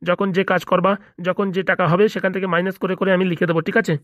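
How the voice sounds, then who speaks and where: A man's voice: high-pitched, level tone, racing, fairly bright tone, no echo, clean studio sound. A man voicing a blunt, self-important small-time gangster boss in a comedy cartoon, bossy and businesslike with a Bengali accent. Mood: boastful, firm. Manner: bossy, businesslike, repeatedly seeking agreement.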